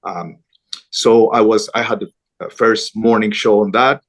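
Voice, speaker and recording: A middle-aged man from Colombia speaks in a lowish voice, earnest and a little halting, often restarting his sentences. He sounds like a news anchor chatting as an interview guest. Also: fairly even intonation. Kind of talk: conversational interview.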